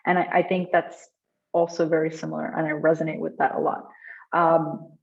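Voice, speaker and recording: Lower-voiced woman, dull call audio. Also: level tone, normal speed, creaky. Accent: Indian. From India. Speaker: guest speaker at a design meetup. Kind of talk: conversational talk, answering audience questions.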